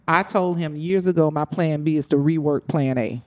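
A woman with a raspy voice, chatting animatedly as a guest on a talk show, laughing often. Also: low-pitched, moderate pace, a little mellow. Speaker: guest, business owner. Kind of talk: casual chat.

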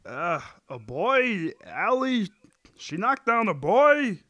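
A man's voice: sits high, very animated, slow pace, bright, forceful tone, dry recording, heavily acted. A man playing a whiny, defensive sitcom husband in a script table read. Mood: curious, plaintive.

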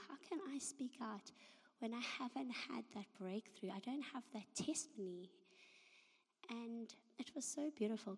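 Woman, hoarse, breathy near-whisper, halting testimony, long hall reverb. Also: a little high, darkish tone. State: hoarse, half-lost voice from flu. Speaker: guest speaker. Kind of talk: personal faith testimony at a church service. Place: church hall.

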